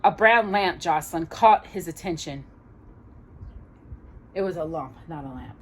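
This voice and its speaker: A woman reading a children's story aloud, expressively, with dramatic, measured phrasing and pauses. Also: low-pitched, expressive, husky. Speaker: storytime reader.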